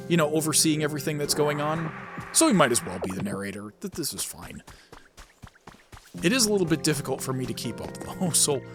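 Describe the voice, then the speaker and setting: A man doing casual gameplay commentary as a video host, rambling with self-aware asides. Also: on the high side. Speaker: gaming video host and amateur voice actor.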